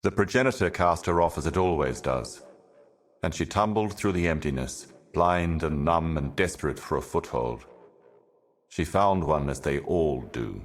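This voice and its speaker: A super deep ancient video game herald, grave and weary, slow cryptic doom monologue. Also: male voice. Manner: grave, deliberate, ominous, poetic.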